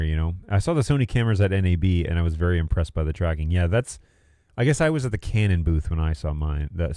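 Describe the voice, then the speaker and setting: A man's voice: sits low, warm tone, no room sound, clean studio sound. A man hosting a casual livestream, rambling and thinking aloud as he talks through tech. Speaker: livestream host. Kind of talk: casual livestream chat about video production gear.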